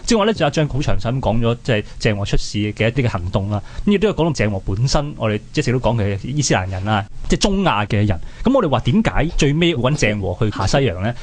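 A man's voice: mid-range voice, very animated, quick pace, few pauses. A man with a Hong Kong Cantonese accent giving conversational history commentary, explaining by asking and answering his own questions. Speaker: co-host of a history programme.

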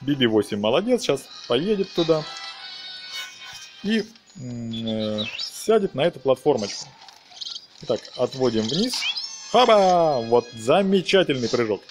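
A man's voice: medium pitch, big pitch swings, a little slow, music in the background. A man giving a casual gameplay walkthrough, talking viewers through each step in slangy, diminutive-laden wording. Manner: talks viewers through steps, fond of diminutives and slangy words. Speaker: video game let's player.

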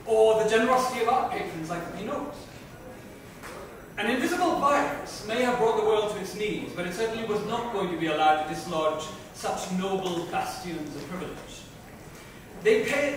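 A woman giving a theatrical, emphatic dramatic reading of a book in an indoor lounge. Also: medium pitch. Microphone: far microphone. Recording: distant mic, very echoey room, faint noise.

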